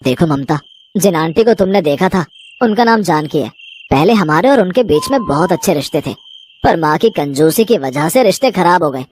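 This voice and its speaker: A woman's voice: fairly low pitch, animated, normal speed, darkish tone, a little hiss or hum, close to an everyday voice. A woman voicing a frustrated 25-year-old son in a cartoon story, pleading and exasperated. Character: young man of 25, frustrated son.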